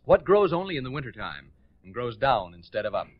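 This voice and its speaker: An American man hosting a live 1940s radio game show with excitable, hurried patter from the stage. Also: mid-range voice. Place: on stage before a studio audience. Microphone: stage microphone. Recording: dull 1940s radio recording.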